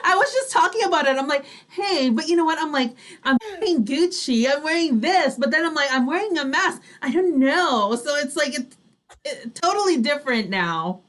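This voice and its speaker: A woman co-hosting a fashion talk show, chatting casually in an animated, emphatic way. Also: sits high, normal speed. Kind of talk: casual chat.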